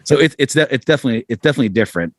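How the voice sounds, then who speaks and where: A man's voice: medium pitch, expressive, rapid-fire. A middle-aged American man talking casually as a musician being interviewed, rambling along in a self-deprecating way. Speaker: musician guest, band frontman.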